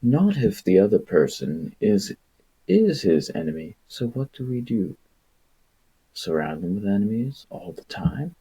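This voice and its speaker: Man reading cold, gravelly military recruiters. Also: lowish voice, very animated, a little slow, dark tone, exaggerated voice, low-quality recording. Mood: cynical, determined. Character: stern adult military recruiters debating a child, cold, cynical.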